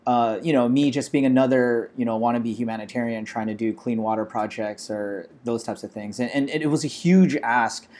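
An American man in his forties, a startup founder telling his story in a relaxed interview, full of "you know" and "uh". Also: mid-range voice, normal speed, hardly any pauses, a little hiss or hum. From Los Angeles, USA.

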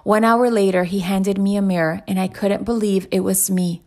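Woman as a plaintive talking teacup, creaky voice. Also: mid-range voice, fairly even intonation, normal speed, a little mellow, close to an everyday voice. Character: talking teacup recounting its painful making, plaintive. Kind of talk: inspirational parable read aloud.

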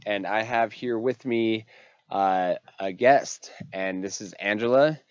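A man's voice: fairly low pitch, slow pace, clear, resonant tone, dry recording, dull audio. A pastor hosting a faith podcast, a man who is sick with a congested, nasal voice and rambles with many fillers and restarts. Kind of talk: casual faith podcast talk.

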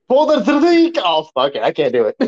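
A man's voice: very high voice, a little mellow, dull audio. A man co-hosting a wrestling podcast, chatting about sport in a casual, slangy way.

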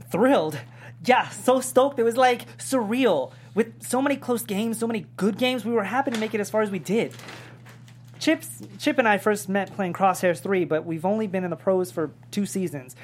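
A man's voice: high voice, moderate pace. A man playing a crude, awkward young pro gamer in a comedy table read, sheepish and blurting. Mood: stoked, earnest.

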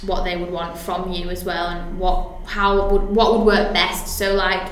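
A woman's voice: on the low side, little pitch movement, slight background noise. A woman, a guest speaking about wording, talking in a chatty way full of fillers and restarts. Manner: chatty, lots of fillers and restarts, quick to laugh. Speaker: guest copywriter. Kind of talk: casual podcast interview. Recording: very echoey room.

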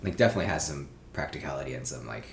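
A man's voice: rumbling low, expressive, racing, vocal fry. A man hosting a casual chat, talking in a laid-back, rambling way with lots of fillers. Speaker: host. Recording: echoey room with faint noise.

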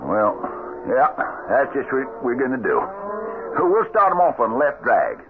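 An actor playing a middle-aged, gruff but fair cattle trail boss with an American Western accent in a radio western. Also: male voice, medium pitch, wildly swooping pitch, measured pace. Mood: easygoing. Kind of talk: old-time radio western acting. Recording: noisy old radio broadcast, narrow muffled band.

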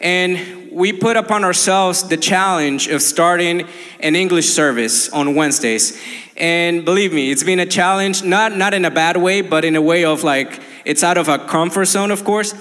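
A man's voice: high-pitched, normal speed, a bit bright. A young man from Colombia, a native Spanish speaker with a Colombian accent, preaching an earnest sermon full of restarts. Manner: earnest, many restarts and fillers. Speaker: pastor. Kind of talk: livestreamed sermon. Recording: long hall reverb.

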